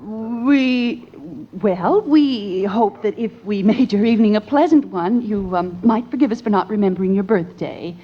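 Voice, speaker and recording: A woman playing a gentle, tactful middle-aged mother in a vintage radio sitcom, speaking in a placating way. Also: mid-range voice, a little slow, few pauses, husky. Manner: hesitant, placating. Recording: muffled old radio recording.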